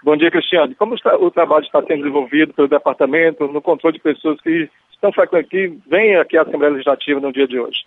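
Male field reporter, Brazilian Portuguese accent, fairly high voice, tinny phone-line audio, dry. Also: fast talker. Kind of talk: live radio field report.

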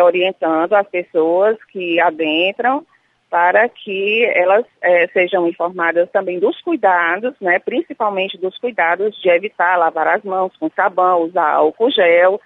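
A woman's voice: medium pitch, no room sound. A Brazilian Portuguese-speaking woman, a nurse, answering interview questions with many hesitations and fillers. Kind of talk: on-site radio interview. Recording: tinny phone-line sound.

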